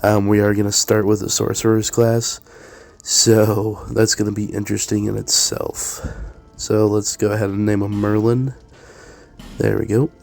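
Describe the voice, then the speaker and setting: Raspy, stuffed-up gamer guy. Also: fairly low pitch, flat delivery, moderate pace, darkish tone, soft background music. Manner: hesitant, many fillers. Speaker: let's play gamer. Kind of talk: casual commentary.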